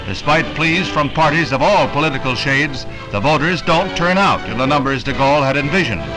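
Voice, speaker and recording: 1960s newsreel announcer, loud music behind. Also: male voice, on the high side, expressive, low-quality recording. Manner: brisk, emphatic announcer delivery. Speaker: newsreel narrator. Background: loud music score.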